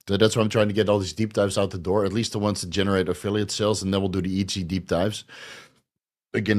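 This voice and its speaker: A man hosting a casual question-and-answer show, blunt and profane, his voice going hoarse. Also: low-pitched. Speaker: podcast host answering viewer questions. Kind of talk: casual live Q&A.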